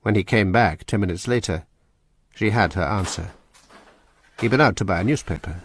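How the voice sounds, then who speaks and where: A man narrating a radio drama in a measured storytelling manner. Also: lowish voice, a little hiss or hum. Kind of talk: scripted narration.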